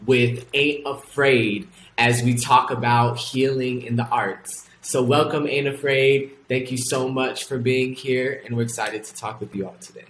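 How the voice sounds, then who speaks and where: A man's voice: mid-range voice, fairly even intonation, measured pace, few pauses, fairly bright tone. Upbeat man host in an echoey room. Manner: upbeat, welcoming. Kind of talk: show opener and scripted guest intro. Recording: roomy room with faint noise.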